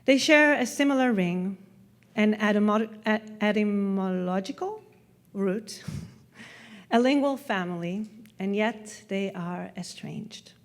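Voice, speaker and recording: Reflective Hebrew-native woman speaker. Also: mid-range voice. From Israel. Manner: reflective, measured reading with soft trailing endings. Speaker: festival speaker. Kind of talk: prepared stage talk. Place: festival stage.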